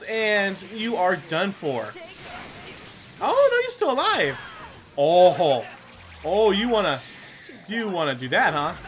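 A foul-mouthed, excitable man giving casual commentary on a video game as he plays. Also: sits high. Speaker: gaming video host. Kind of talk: casual let's play commentary. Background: game music.

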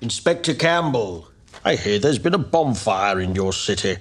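A man's voice: lowish voice, big pitch swings, normal speed. An actor playing a stern, authoritative older statesman, speaking in clipped, commanding tones over a telephone. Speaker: senior politician on the phone. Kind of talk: period TV drama. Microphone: phone line.

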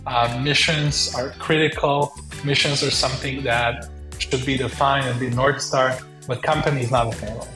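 A man's voice: mid-range voice, fairly even intonation, normal speed, music in the background. Earnest man in an echoey room. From Southeast Europe. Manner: earnest and reflective, with frequent fillers. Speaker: guest entrepreneur. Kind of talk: conversational interview answers. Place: small room at home. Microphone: video call. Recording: echoey room over a video call.

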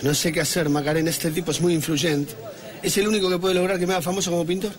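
An actor with an Argentine accent playing a nervous, evasive failed painter who hesitates and stammers in a comic radio play. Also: male voice, high-pitched, fairly even intonation, quick pace, crowd noise, lightly acted. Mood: worried. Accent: Argentine Spanish. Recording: radio play over loud music.

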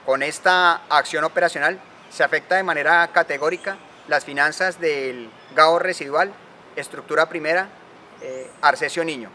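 A man giving a formal, deliberate report on military operations. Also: fairly high pitch, sharp tone, slight background noise. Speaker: army spokesman.

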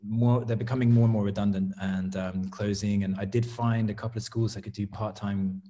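A thoughtful Englishman in his thirties speaks in a low, warm voice, easing through his answers with pauses and restarts. The sound comes through a laptop video call from a small home studio. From England. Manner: relaxed, thoughtful, many ums and restarts. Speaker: guest artist. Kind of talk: casual interview chat. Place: home studio room, indoors. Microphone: laptop webcam video call.

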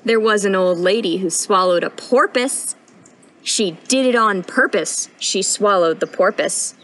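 A young woman reading a picture book aloud at storytime in an expressive, sing-song rhyming delivery, recorded outdoors. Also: medium pitch, unhurried, slight background noise, rough recording. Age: young adult. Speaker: storytime reader, intern. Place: outdoors on a wooden porch.

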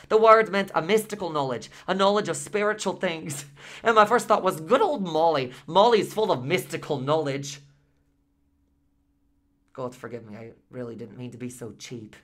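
An actor playing a talkative, excitable, aggrieved husband, telling his story in a chatty, emphatic radio play monologue. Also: fairly high pitch, close to an everyday voice. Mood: eager, animated, then sheepish. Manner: chatty, digressive, emphatic, repeating words.

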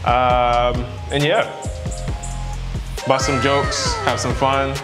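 A man's voice: mid-range voice, level tone, very slow. A young man hosting a workout vlog in a home gym, joking and coaching through the exercises on a lapel mic. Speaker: fitness vlog host. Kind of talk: casual workout vlog chat. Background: music.

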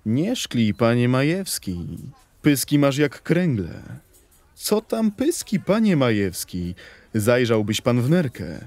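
A man's voice: mid-range voice, very animated, takes it slowly, darkish tone, no room sound. A man playing grumbling working-class market men in an audio play, with colloquial, griping speech. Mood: grumbling banter.

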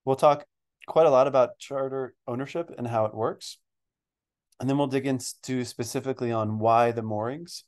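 A man hosts a webinar, introducing the session and putting questions to a guest. His voice sits at an average pitch for a man. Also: darkish tone. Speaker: webinar host. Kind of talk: presenting and interviewing in a webinar.

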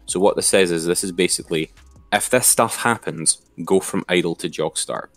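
A man's voice: low-pitched, moderate pace, a bit bright, no room sound, soft background music. A man giving an informal, step-by-step screen-share tutorial as an instructor.

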